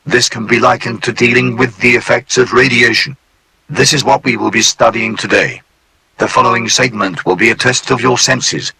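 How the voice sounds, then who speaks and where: A man voicing a polite, formal male AI supercomputer that guides trainees in a calm, courteous, clipped manner. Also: medium pitch, husky, poor audio. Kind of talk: scripted narration for an analog horror tape.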